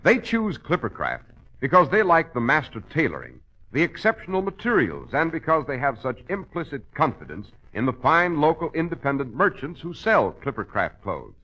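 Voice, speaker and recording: A male commercial announcer from old-time radio. He pitches emphatically with very dramatic intonation and a sharp, rough-edged voice. The recording sounds muffled and dated. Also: huge pitch swings, normal speed. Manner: emphatic, polished salesmanship. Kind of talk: 1940s radio advertising pitch. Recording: dull vintage radio recording.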